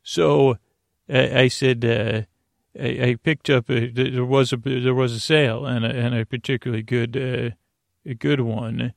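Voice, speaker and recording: Male sleep podcast host, mid-pitched with vocal fry, halting and meandering bedtime monologue. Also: little pitch movement, dry recording. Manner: meandering, halting, repetitive, trailing off.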